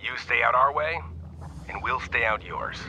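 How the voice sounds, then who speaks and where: An actor playing a cocky, sardonic mercenary squad leader in a video game, with a gravelly voice and casual taunting manner. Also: male voice, medium pitch, unhurried, sharp tone, dull audio, a little hiss or hum. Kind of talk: video game military acting.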